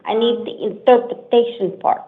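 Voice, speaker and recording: A woman from Arizona, a defendant in a court hearing, who talks haltingly and stumbles over her words. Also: on the high side, fairly even intonation. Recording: tinny court livestream audio.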